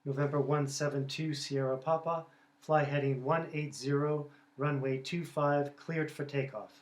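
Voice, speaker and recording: A man's voice: on the high side, steady tone, measured pace. Man presenting over background noise. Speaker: presenter demonstrating a homemade drone project. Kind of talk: explanatory demo narration with radio-style instructions.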